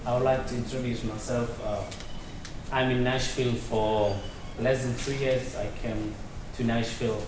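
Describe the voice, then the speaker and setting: A young man from Somalia, speaking hesitantly and cheerfully as an audience member at a community meeting, with many pauses and fillers. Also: mid-range voice, little pitch movement, recorded from a distance. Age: young adult. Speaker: audience member asking a question. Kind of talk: public comment at a community meeting. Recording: echoey hall, voice heard from afar, background noise.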